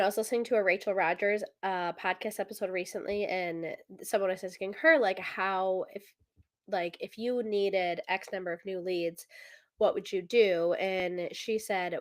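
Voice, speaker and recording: Congested woman hosting a podcast. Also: mid-range voice. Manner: chatty, many fillers, thinks aloud with math. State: congested, slightly rough voice. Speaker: podcast host. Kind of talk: casual solo business talk.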